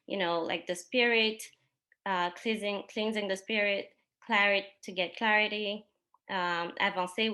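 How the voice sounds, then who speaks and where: A woman whose first language is French, possibly from Mali, presents her own artworks in an unhurried talk, pausing often with ums. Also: mid-range voice, pushed and bright. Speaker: exhibiting artist.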